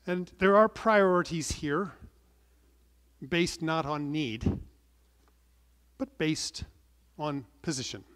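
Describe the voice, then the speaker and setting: A middle-aged man preaching a sermon from the pulpit, earnest and measured, stressing some words and letting phrase endings fall soft. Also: on the high side. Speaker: pastor. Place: large wood-panelled church, indoors. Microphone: pulpit microphone. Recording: long church reverb.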